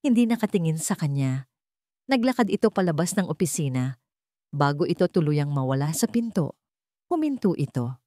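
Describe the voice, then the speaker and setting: A woman's voice: low voice, sing-song, normal speed, pauses often, mellow, no room sound, studio quality. A woman storyteller reading a scripted romance story in a dramatic narrating style.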